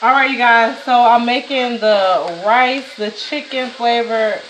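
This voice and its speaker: A woman's voice: fairly high pitch, takes it slowly, a bit bright. A woman in her thirties chatting casually to viewers while she cooks in her home kitchen, recorded by a camera on the counter. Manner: chatty, talks to viewers as "y'all", scolds kids. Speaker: vlogger cooking at home. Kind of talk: casual cooking vlog chat. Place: small home kitchen at night. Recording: echoey kitchen with faint noise.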